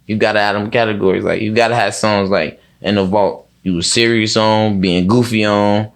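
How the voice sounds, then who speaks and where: A man's voice: lowish voice, fairly even intonation, moderate pace. An American man speaking as a rapper being interviewed, in casual, slangy, profane and repetitive talk.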